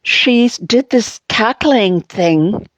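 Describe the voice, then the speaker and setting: Slow-talking Montreal English woman. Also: fairly high pitch, expressive, no echo, muffled recording. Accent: Anglophone Montreal. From Montreal, Canada. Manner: halting, many fillers and restarts. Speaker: vlogger. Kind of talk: personal political monologue.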